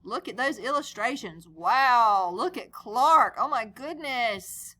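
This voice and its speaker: Bright, animated woman reading to children. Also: a little high, takes it slowly. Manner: animated, conversational, addresses young listeners with questions. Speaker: read-aloud storyteller and teacher. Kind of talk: children's picture-book read-aloud with explanations.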